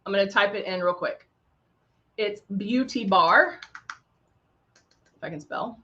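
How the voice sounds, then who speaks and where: A woman's voice: medium pitch, measured pace, sharp tone. A middle-aged woman with a Texan country accent, chatting upbeat through a live makeup tutorial and greeting viewers as she works. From Texas. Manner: chatty and upbeat, talks while applying makeup, playful sound effects. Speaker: makeup brand host. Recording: dull lo-fi livestream audio.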